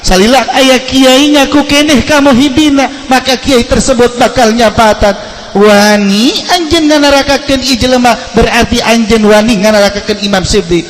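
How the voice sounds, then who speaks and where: A man's voice: very high-pitched, normal speed, slight background noise. Reverberant male preacher telling a sermon story. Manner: theatrical, switching between narration and heightened quoted speech. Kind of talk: storytelling sermon to a congregation, acting out dialogue.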